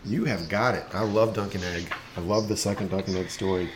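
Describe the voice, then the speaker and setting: A man hosting a podcast in casual chat, playful and animated, with a slight rasp in his voice. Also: sits low, a little fast. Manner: playful, animated, laughs often. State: slightly raspy from lost voice. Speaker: podcast host.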